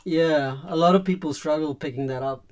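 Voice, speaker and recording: A man's voice: a little high, normal speed, a little mellow, clear, resonant tone. A man with an accent that mixes Indian and New Zealand sounds, chatting casually and chuckling with his teammates during a match. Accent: mix of Indian and New Zealand. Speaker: player on team voice chat. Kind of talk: casual gaming chat.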